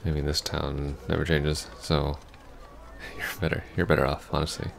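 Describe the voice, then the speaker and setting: Very deep, gravelly, hesitant ex-boyfriend played by a man. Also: little pitch movement, a little hiss or hum, heavily acted. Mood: awkward, wistful. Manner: hesitant, halting, stammering. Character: awkward, apologetic young ex-boyfriend, still hurting. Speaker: ASMR roleplay voice actor. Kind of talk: ASMR roleplay, soft intimate acting.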